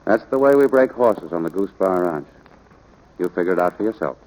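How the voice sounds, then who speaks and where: A low-voiced, plainspoken rancher father. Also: animated, a little fast, darkish tone, low-quality recording. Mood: firm, matter-of-fact. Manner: terse, matter-of-fact, instructing. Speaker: actor in radio play. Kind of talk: 1940s radio drama acting.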